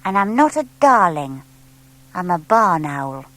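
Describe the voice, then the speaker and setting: A woman's voice: lowish voice, sing-song, a little slow, fairly bright tone, poor audio. A woman voicing a small young male barn owl, proud, eager and blunt, in a children's audiobook. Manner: childlike, hesitant when flustered, blunt.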